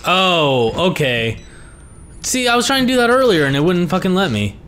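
A young man with a hoarse voice, commentating a horror game he is playing, swearing and reacting to scares. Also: high-pitched, big pitch swings, normal speed, a bit bright, slight background noise. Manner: reactive, swearing, jumpy exclamations. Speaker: gaming YouTuber. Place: small room at home. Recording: game music behind the voice.

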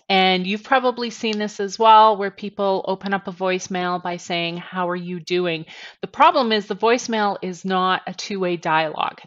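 A woman's voice: fairly low pitch, a bit bright. Woman presenting tips, slightly dull headset sound. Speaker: sales trainer presenting tips. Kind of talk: instructional to-camera talk. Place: small attic bedroom, indoors.

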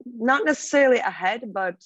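A woman's voice: a little high, animated, a little fast, a bit bright, no room sound. A young woman from Turkey with a Turkish accent, a podcast guest talking thoughtfully with some fillers and restarts over a video call. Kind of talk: casual interview talk. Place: small room at home. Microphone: laptop mic on a video call. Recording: dull, dry video-call audio.

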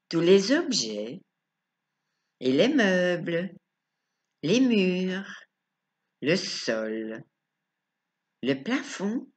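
Female meditation guide, calm and unhurried instructional delivery, slightly muffled lo-fi recording. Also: low voice. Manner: calm, instructional, short phrases with pauses. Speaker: relaxation coach guiding yoga nidra.

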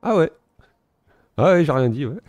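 A man giving relaxed, self-mocking commentary while playing a strategy game, thinking aloud. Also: a little high, moderate pace, no echo. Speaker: gaming video host. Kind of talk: casual let's-play commentary.

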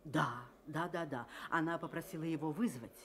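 An actress playing a prim, gossipy hotel receptionist in a radio drama, chatty and easily indignant. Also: female voice, low-pitched, moderate pace, lightly acted. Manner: chatty, hesitant starts, prim.

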